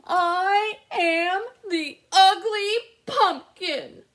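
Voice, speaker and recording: A woman voicing a lonely, hopeful misfit pumpkin from a children's storybook, with a plaintive, drawn-out sing-song delivery. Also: extremely high pitch, fairly bright tone, voice pushed up, full character voice. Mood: sad, pleading.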